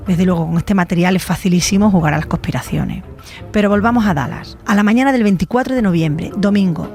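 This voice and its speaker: A woman's voice: medium pitch, darkish tone. A woman giving scripted documentary narration as a podcast journalist.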